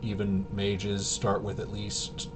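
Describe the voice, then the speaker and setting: A man casually explaining game rules unscripted, halting and rambling as he thinks aloud. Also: completely flat delivery. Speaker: tabletop RPG reviewer and explainer. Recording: clearly noisy with low rumble.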